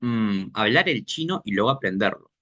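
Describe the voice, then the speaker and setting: Guy teaching a casual workshop, thinks out loud, pauses looking for words. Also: on the low side, animated, lo-fi sound. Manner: explains thinking aloud, pausing to search for words. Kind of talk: informal workshop lesson.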